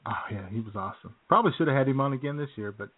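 A man with a mid-pitched voice co-hosts a radio show through thin, phone-like audio. His banter is casual and jokey, and he rambles along with small hesitations. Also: expressive, racing.

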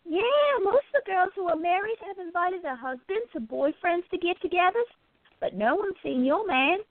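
A woman voicing a quiet, curious gallery worker in a radio play, chatty and gently prodding her friend. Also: very high-pitched, animated, normal speed, pitched above the performer's own voice. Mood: curious, gossipy. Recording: tinny, phone-like narrow sound.

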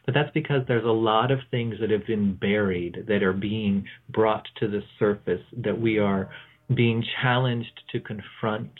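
A man on a thin, phone-like connection talks as a radio host and tarot reader. His voice is slightly low, and he speaks reflectively without a script, in measured phrases broken by pauses. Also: steady tone. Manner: measured, pausing mid-phrase. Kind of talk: unscripted reflective monologue.

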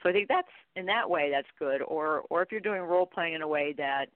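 A woman's voice: deep voice, brisk, no room sound. A middle-aged woman speaking as a guest expert, answering conversationally with informal, rambling anecdotes and acted-out bits of dialogue. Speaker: guest expert, training company CEO. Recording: tinny, dry phone-line audio.

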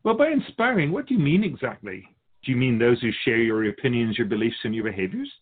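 A man plays an otherworldly spirit guide in a radio drama, sardonic and pedantic. His pitch swings widely as he needles humanity with amused superiority. The recording is slightly dulled. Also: sing-song, fast, phone-quality sound. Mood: amused, mocking. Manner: sarcastic, chuckling, pedantic. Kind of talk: scripted radio conversation.

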